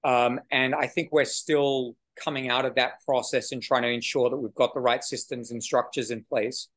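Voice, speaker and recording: A man in his forties, the director of an arts venue, answering a question on a video call in a measured, slightly halting way. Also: medium pitch, little pitch movement, a bit bright. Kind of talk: panel conversation on a video call. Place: small room with brick wall. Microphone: video call webcam.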